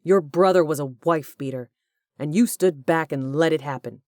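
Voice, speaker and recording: A woman's voice: very deep voice, steady tone, moderate pace, a little mellow, husky, no room sound, studio quality, full character voice. A woman voicing a brave young man who speaks firmly and defiantly. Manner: firm and defiant. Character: brave young man protecting loved ones.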